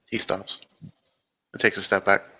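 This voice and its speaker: A man narrates a roleplaying scene as he makes it up, describing actions in the present tense. His voice is forceful and bright. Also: a little slow. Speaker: roleplay game player.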